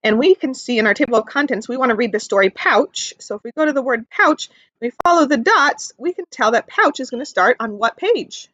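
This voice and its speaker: A woman teaching through an interactive picture-book read-aloud for young children, animated, asking questions and explaining things simply. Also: on the high side, fairly quick, few pauses, no echo, rough recording.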